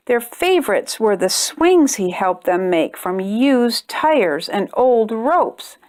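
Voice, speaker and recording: A woman reading a children's storybook aloud with expressive, deliberate delivery. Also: fairly high pitch. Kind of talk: read-aloud storybook narration for young children.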